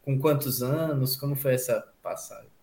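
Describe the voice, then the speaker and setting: A male podcast host with a Brazilian accent speaks in a medium-pitched voice. He interviews casually and conversationally, and the recording has a strong room echo. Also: moderate pace, vocal fry, darkish tone. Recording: echoey room sound.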